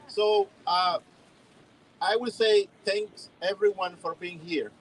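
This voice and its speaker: A man's voice: high voice, fairly even intonation, takes it slowly, slight background noise, poor audio. A man speaking at an outdoor press conference, firm and urgent. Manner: firm, urgent call to action. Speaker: TPS organizer.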